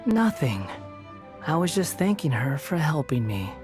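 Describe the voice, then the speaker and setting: A woman voicing a proud, brooding, sardonic young male wanderer in a video game, speaking in a cool, deliberate way. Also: sits low, big pitch swings, over music. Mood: resigned, resolute. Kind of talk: dramatic video game voice acting.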